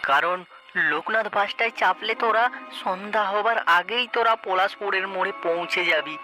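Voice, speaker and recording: A woman's voice: medium pitch, fairly quick, sharp tone, lightly acted, low-quality recording. A woman voicing a caring, cautious village aunt in a cartoon story. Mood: cautioning. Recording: music behind the voice. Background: background music.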